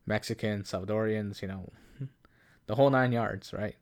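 A man's voice: fairly low pitch, normal speed. A young Canadian man chatting on a podcast. He rambles, restarts his sentences, and laughs often while telling a story into a headphone mic. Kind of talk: casual chat. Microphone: headphones and studio mic.

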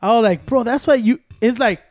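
A man chatting as a podcast co-host, fast and profane, switching between Spanish and English. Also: extremely high pitch, darkish tone, no room sound, studio quality. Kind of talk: casual chat.

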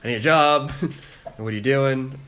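A soft-spoken, introverted man telling a story in a casual podcast chat, hesitant, with many fillers. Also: medium pitch, slow pace, a little hiss or hum. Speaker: podcast co-host.

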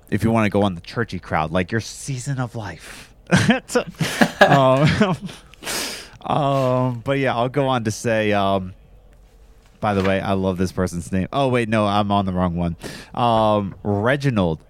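Low-voiced man losing his voice. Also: lively intonation, measured pace. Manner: rambling, many fillers and restarts, laughs often. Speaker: podcast host. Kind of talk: casual chat.

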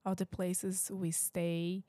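A woman's voice: lowish voice, flat delivery, slow, dark tone, dry recording. Brazilian-accented woman, chatty. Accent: Brazilian Portuguese. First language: Portuguese. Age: 30s. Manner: chatty, lots of "like" fillers. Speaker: podcast co-host. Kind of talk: casual chat.

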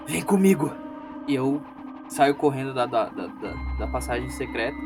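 A man's voice: medium pitch, moderate pace, music in the background. A Brazilian man playing a tabletop game, casually narrating what his character does. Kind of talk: casual role-play narration.

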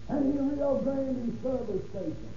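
A newsboy crying out headlines in an old radio ad. The voice is very high and slowly drawn out. It echoes on a dull recording. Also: male voice, dark tone, a little hiss or hum. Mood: urgent hawking. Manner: drawn-out calling. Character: newsboy hawking papers in a high street cry. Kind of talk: 1930s radio advertisement acting.

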